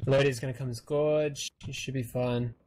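A man's voice: mid-range voice, steady tone, normal speed, darkish tone. A man calling plays to his team over game voice chat in short, directive callouts. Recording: noisy game voice chat, gunfire behind. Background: game gunfire and ambience.

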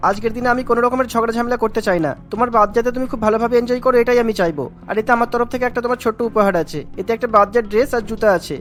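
Cartoon: an actor playing a patient poor husband, rapid delivery. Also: male voice, very high-pitched, fairly bright tone, soft background music. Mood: gentle.

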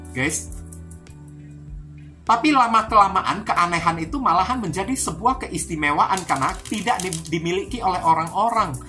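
A woman's voice: fairly low pitch, sharp tone. A woman from Indonesia giving an informal tarot reading, chatty and repetitive, often addressing her viewers directly. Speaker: tarot reader. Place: indoors at a card table. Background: music.

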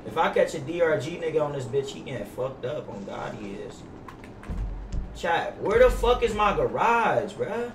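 A man's voice: on the high side, sing-song, normal speed, far from the mic. A young American man streaming a game, chatting casually in brash slang with heavy swearing. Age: young adult. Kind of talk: casual gaming livestream chat with role-play banter. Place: indoor room at streaming setup. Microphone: gaming headset. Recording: roomy, off-mic, faint noise.

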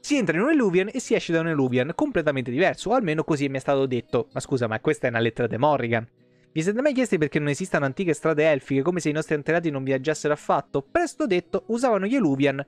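A man in his thirties with a Roman Italian accent and a fairly high voice. He reads fantasy game lore aloud and slips into informal, expressive asides. Music plays softly behind him as he streams. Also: no room sound. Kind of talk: reading game lore aloud with casual live commentary. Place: indoor room, home streaming setup. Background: background music with guitar.

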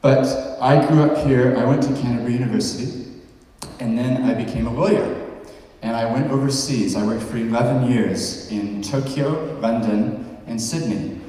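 A man's voice: mid-range voice, moderate pace, warm tone. Nz guy in his 40s doing a chatty conference talk, asks the crowd stuff, long hall reverb, mic far away. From New Zealand. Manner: conversational, asks the audience questions. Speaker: podcast host giving a talk. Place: large hall with a stage. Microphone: handheld mic, heard from far off.

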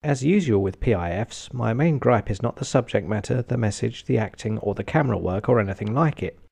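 A British man giving a scripted review narration in a deadpan, sardonic, sweary manner. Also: mid-range voice, a little fast, creaky. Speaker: film reviewer.

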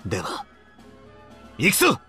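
An actor playing a stern, driven young military squad leader in a Japanese video game. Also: male voice, measured pace, lightly acted. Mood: commanding. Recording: music behind the voice.

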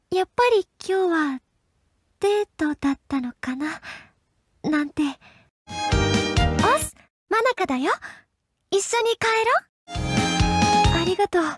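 A woman voicing a sweet, shy, affectionate teenage girlfriend, soft and hesitant, in game clips. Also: very high voice, heavily acted. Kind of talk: dating-game character voice clips. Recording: loud game music underneath.

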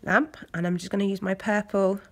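A woman's voice: medium pitch, little pitch movement, a little fast, fairly bright tone. Chatty English woman with a cold. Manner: chatty, loose, lots of fillers. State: hoarse with a cold. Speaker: nail technician. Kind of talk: step-by-step tutorial narration.

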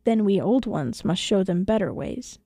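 A woman voicing a kindly, pious old cobbler man who admonishes gently and earnestly in a storybook reading. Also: mid-range voice, animated, moderate pace, slightly rough, no room sound, clean studio sound.

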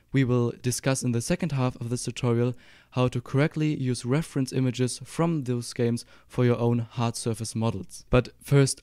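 A young man with a German accent talks through a software tutorial at an easy middle pitch. His voice is smooth and crisp. It sits close to the mic in a dry, deadened space, with upbeat, clear explanations. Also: no room sound. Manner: upbeat, explanatory, slows down for instructions. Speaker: Blender tutorial YouTuber. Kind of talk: screen-recorded tutorial voice-over.

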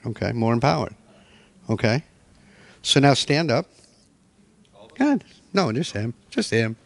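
A man's voice: mid-range voice, big pitch swings, unhurried, vocal fry. A middle-aged man presenting a workshop in a hotel meeting room, coaching people step by step.

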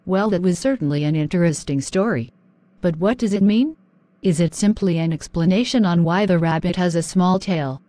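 Man doing a soft-spoken female host, mellow, dry sound, polite and curious. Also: sits high, moderate pace, no echo, voice pushed up, close to an everyday voice. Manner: polite, inquisitive questioning. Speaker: interviewer.

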